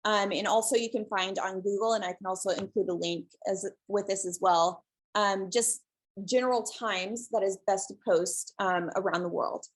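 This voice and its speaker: Calm woman, webinar presenter on a video call; soft, unhurried, hesitant fillers. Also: medium pitch. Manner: relaxed, many ums, soft and calm. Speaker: guest presenter, shelter social media creator.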